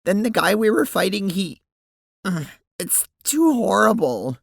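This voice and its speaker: A woman playing an anguished, blunt teenage sprite girl in an audio drama, speaking in a hesitant, emotional, halting way. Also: medium pitch, sing-song, vocal fry, dry recording, clean studio sound, full character voice. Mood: distressed, miserable.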